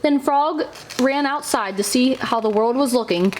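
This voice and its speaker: A woman's voice: high-pitched, normal speed, slight background noise. A woman, a mother, narrating a children's storybook aloud for her child.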